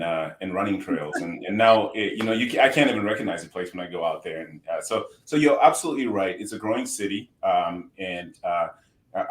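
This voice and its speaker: Deep-voiced Zimbabwean man in a roomy space. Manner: relaxed, repeats words as he restarts, chuckles easily. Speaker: guest. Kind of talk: casual podcast interview. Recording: roomy echo.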